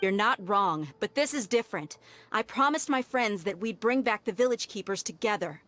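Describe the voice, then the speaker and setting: A woman's voice: mid-range voice, lively intonation, normal speed, a bit bright, faint music behind, lightly acted. A woman playing a bold, level-headed mercenary in a video game, speaking bluntly and firmly, challenging and loyal. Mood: firm, persuading. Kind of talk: dramatic video game voice acting.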